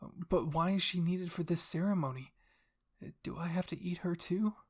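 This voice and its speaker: Guy doing a distressed young girl in an anime fan story, higher pitched, pleading and asking questions. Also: normal speed, breathy edge. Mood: confused, questioning. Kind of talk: dramatic anime fan fiction reading.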